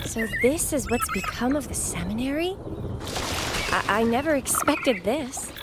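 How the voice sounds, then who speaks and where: A woman's voice: on the high side, expressive, a little slow, heavy background noise. A woman playing an earnest, slightly hesitant young companion in a video game. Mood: wistful wonder. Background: music.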